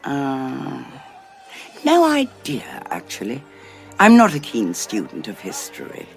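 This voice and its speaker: An actress with a British accent playing a scheming, coolly amused war commander in an audio drama, arch and condescending. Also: female voice, very deep voice, wildly swooping pitch, slow pace, vocal fry, soft background music, exaggerated voice. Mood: cutting, superior. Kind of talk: full-cast audio drama acting.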